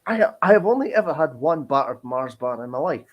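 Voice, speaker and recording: Lively-voiced man in playful banter. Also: a little high, brisk, muffled recording. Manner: playful, mock-sulky banter. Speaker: stream co-host. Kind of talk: casual live-stream chat.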